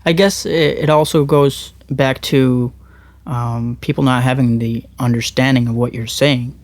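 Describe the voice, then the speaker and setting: A young man from Norway, a musician guest, talking thoughtfully in a casual interview, often pausing and restarting his words. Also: medium pitch, steady tone, no room sound.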